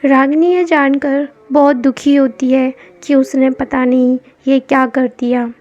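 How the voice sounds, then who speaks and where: A woman narrating a scripted horror story in a measured, even storytelling manner. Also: high voice, dry recording. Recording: dull lo-fi with background music.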